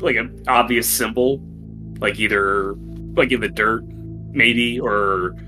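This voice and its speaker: A man playing a tabletop game, chatting casually and tentatively working out his ideas aloud in halting phrases. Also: lowish voice, fairly even intonation, sharp tone, faint music behind, low-quality recording. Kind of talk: casual group game chat.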